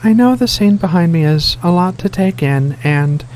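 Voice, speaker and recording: A man playing a giant, gentle alien cat researcher in a soft-spoken ASMR roleplay, speaking hesitantly and apologetically with shy affection. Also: high voice, lively intonation, normal speed, slight background noise, rough recording. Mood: soothing, apologetic.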